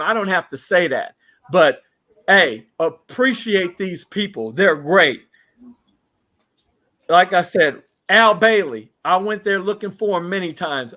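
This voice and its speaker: Man, fifties, high bright voice, casual interview guest, reminiscing. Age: 50s. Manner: reminiscing, rattling off shout-outs. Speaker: podcast guest.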